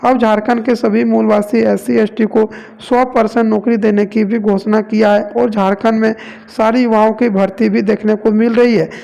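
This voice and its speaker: A man's voice: high-pitched, level tone, normal speed, gravelly, mellow, highs cut off. A man from India narrating news reports as a presenter, leaning on repeated stock phrases.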